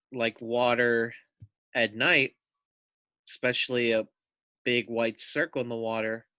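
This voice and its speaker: A man's voice: mid-range voice, bright, forceful tone, dry recording. A man reading a children's story aloud as a teacher, with chatty asides and questions to his young listeners. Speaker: teacher reading to first graders.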